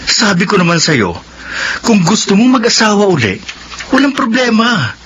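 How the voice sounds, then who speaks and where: Sharp, high-voiced scolding Filipino son. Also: male voice, big pitch swings, moderate pace, lo-fi sound, a little hiss or hum. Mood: reproachful, stern. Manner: forceful, reproachful. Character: indignant, scolding adult son, a stern young doctor. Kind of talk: Filipino radio drama acting.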